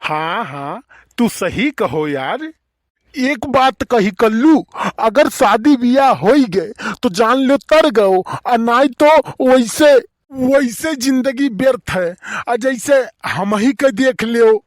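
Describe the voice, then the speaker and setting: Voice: mid-range voice, lively intonation, slow, no room sound, clean studio sound. A performer voicing a nosy, gullible village bachelor in a comedy cartoon, drawling inquisitively in an Awadhi accent. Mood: eager, admiring.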